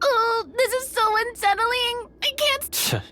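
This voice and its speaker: A shy gentle girl in a game, really high, slow, music behind. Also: background noise. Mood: nervous. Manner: hesitant, breathy gasps. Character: timid, gentle young woman player.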